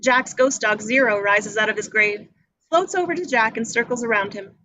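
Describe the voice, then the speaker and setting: A woman's voice: fairly high pitch, normal speed, sharp tone, rough recording. A woman reading scripted action descriptions as narrator at a live table read.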